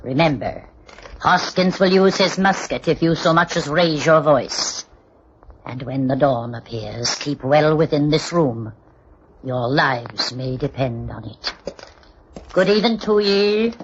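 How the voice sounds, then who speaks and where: An actress playing a grim, ominous innkeeping woman of the early 1800s, stern and measured with archaic wording, in a radio drama. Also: sits low. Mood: ominous warning. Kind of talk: old-time radio drama acting. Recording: dull old radio recording, faint noise.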